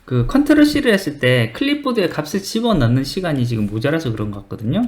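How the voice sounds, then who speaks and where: A man's voice: mid-range voice, darkish tone. A man presenting a screen-recorded coding tutorial, informally thinking aloud as he explains each step.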